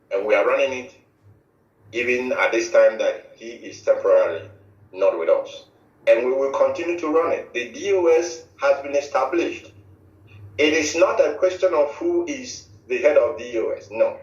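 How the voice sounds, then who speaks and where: A middle-aged man with a Nigerian accent giving a formal statement as a spokesman on radio, emphatic and repetitive, halting with many fillers and restarts. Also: fairly high pitch. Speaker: movement spokesman interviewed on radio. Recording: echoey, distant, dull lo-fi audio.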